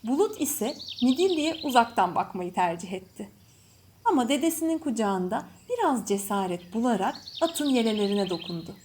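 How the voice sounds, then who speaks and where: High-voiced woman narrating a children's story. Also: big pitch swings, moderate pace, darkish tone. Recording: faint background noise with birdsong. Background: birds chirping.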